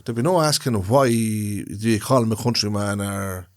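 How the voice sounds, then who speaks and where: A man's voice: fairly low pitch, moderate pace. A man co-hosting a casual podcast chat in a room, rambling and restarting his phrases as he explains things. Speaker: podcast co-host. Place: room, indoors.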